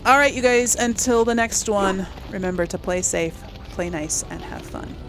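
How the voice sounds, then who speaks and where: A woman's voice: medium pitch, normal speed, loud music behind the voice, rough recording. A woman hosting a casual let's play, chatting to viewers and thinking aloud in a tentative way. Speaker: gaming video host and player.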